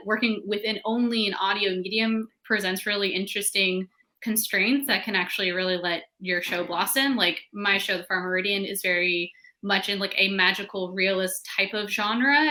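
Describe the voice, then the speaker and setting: Woman panelist chatting about creative work, really bright forward voice. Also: medium pitch. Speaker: panelist, audio fiction creator. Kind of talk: conversational panel talk.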